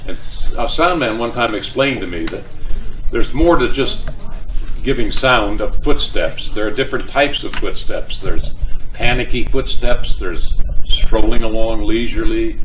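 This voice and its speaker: An older man, a former announcer, giving an informal live talk and introducing performers. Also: medium pitch. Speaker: presenter, former radio and TV announcer. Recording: distant mic, faint room noise.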